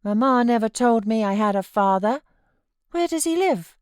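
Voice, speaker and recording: A woman acts out a sickly young British boy in a storybook reading. The voice is raised high and sounds childlike, anxious and stubborn, with a plaintive, questioning way of talking. It is recorded close and dry. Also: animated, moderate pace, a little mellow, clean tone, no echo, natural voice. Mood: perplexed, anxious. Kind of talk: audiobook character voice.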